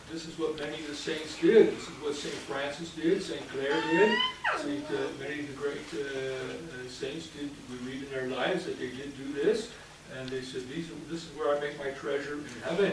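Echoey, halting male preacher, far from mic. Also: on the high side. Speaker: priest. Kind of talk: sermon. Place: indoors at a church pulpit or lectern, reverberant. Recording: distant mic in echoey room, clear background noise.

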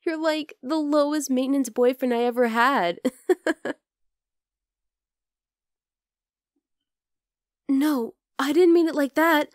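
Casting: actress as young wolf-girl roommate for ASMR roleplay, fond teasing with tender exasperation, unhurried delivery, close dry mic. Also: high-pitched, dry recording. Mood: earnest, concerned. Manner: casual, exasperated but tender, sighing. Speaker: voice actor in audio roleplay. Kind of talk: ASMR roleplay, acted one-sided dialogue.

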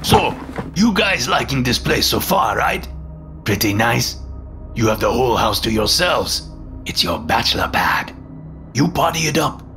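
Man putting on a pushy, jovial foreign-accented landlord, pitched up. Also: sing-song, a little slow, music in the background. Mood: chummy, pushy. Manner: jovial, intrusive, broken phrasing. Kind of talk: storytelling character voice.

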